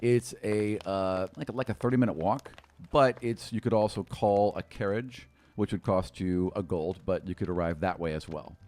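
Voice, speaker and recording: A man's voice: low-pitched, moderate pace, darkish tone. A middle-aged man narrating a tabletop game casually as game master, close to the microphone. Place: small indoor studio room. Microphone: close desk microphone on stand.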